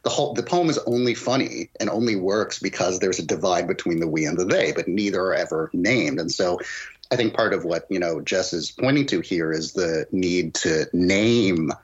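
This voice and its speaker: A man's voice: sits low, lively intonation, moderate pace, husky, lo-fi sound. A man talking as a guest critic in a literary podcast discussion, analytical and thinking aloud, often posing rhetorical questions.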